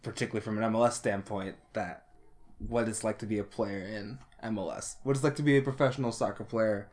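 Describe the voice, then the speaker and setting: A man's voice: fairly low pitch, steady tone, fast talker, a little mellow. Friendly male podcast co-host. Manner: friendly, chimes in with short agreements. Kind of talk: casual podcast chat.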